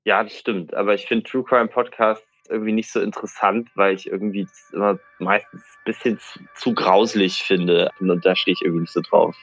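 A man's voice: lowish voice, fairly even intonation, fairly quick, no echo. A man chatting casually as a podcast guest, in a dry, dull lo-fi recording. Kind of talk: casual chat.

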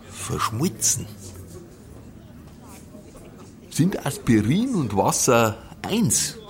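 Slow, drunken Bavarian pub philosopher. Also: male voice, on the low side, a little mellow, full character voice. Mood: ponderous. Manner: ponderous, pseudo-philosophical. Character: drunken, brooding village pub philosopher, gruff man. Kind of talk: audio drama acting in dialect.

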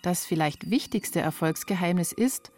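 Lowish-voiced female radio reporter. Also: moderate pace, a little mellow, faint music behind. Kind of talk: scripted radio feature narration.

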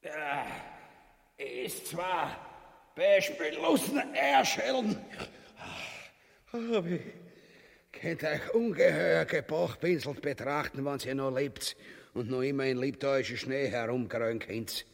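An actor with an Austrian accent playing a pompous, gluttonous, cowardly tyrant in bombastic dialect for a grotesque comic radio drama. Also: male voice, a little high, animated, takes it slowly, creaky, heavily acted. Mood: pompous, boastful. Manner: bombastic, drawling, mock-elevated dialect speech. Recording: long hall-like reverb.

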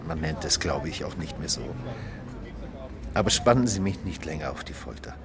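An actor playing a chatty, flirtatious newcomer in a naturalistic radio drama. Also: male voice, extremely low pitch, lively intonation, moderate pace, a crowd in the background. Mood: polite, playful. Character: chatty, flirtatious newcomer waiting for a blind date.

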